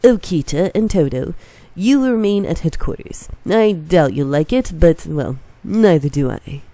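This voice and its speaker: Woman doing a weary calm samurai strategist, very dark mellow tone, dry, stuffy nose. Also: sits low, measured pace, no room sound. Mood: resigned. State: congested.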